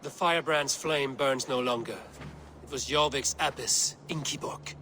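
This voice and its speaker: An actor as a grim Viking assassin from a video game. He is terse and brooding, at a middling pitch, with clear ambient noise behind him. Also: normal speed, sharp tone, natural voice. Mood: sober. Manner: low-key, terse, brooding. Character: grim, stoic Viking assassin, adult man. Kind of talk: video game dramatic acting.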